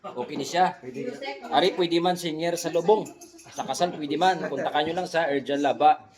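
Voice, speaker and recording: A man with a Western Visayan Filipino accent hosts a casual vlog in a jokey, conversational way. His voice sits a little high and he often tags questions onto his phrases. The room is very echoey, with people chattering behind him. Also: moderate pace, a crowd in the background. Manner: jokey, frequent tag questions. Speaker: vlog host, radio drama director. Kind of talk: casual vlog chat introducing colleagues. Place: indoor radio recording room.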